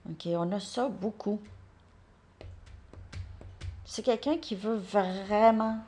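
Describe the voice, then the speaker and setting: A woman's voice: medium pitch, slow pace, faint music behind. Chatty woman reading tarot cards. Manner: chatty, thinks aloud, repeats words, drops into soft asides. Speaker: tarot card reader. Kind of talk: casual live card reading.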